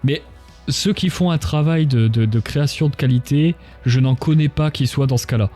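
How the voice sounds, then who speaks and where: A man's voice: mid-range voice, moderate pace, dark tone, soft background music. A man hosting a casual livestream interview, speaking in a hesitant, searching way and often repeating phrases. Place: live online stream.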